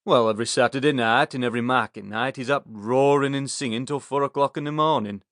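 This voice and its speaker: A man's voice: medium pitch, brisk, a bit bright, dry recording, studio quality, close to an everyday voice. A man voicing a bitter, fed-up village smallholder with a Yorkshire accent in an audiobook. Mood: bitter, complaining.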